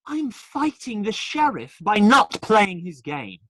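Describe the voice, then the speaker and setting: A woman's voice: mid-range voice, big pitch swings, takes it slowly, no room sound. A woman playing a young male outlaw in a radio play, smitten, defiant and idealistic, earnest but faltering when challenged. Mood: frustrated.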